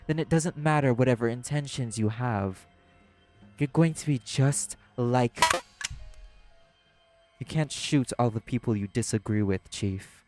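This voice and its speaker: A man acting out a jaded, sardonic club manager in an audio drama. The voice is mid-pitched and world-weary, with a confrontational edge, over soft background music. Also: natural voice. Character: apathetic, cynical socialite club manager and parent. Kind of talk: scripted audio roleplay acting.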